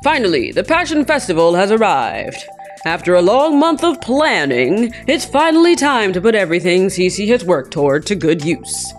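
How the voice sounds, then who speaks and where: A woman reading a visual novel aloud in an expressive, playful way with goofy asides. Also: medium pitch, normal speed, faint music behind. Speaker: let's play host.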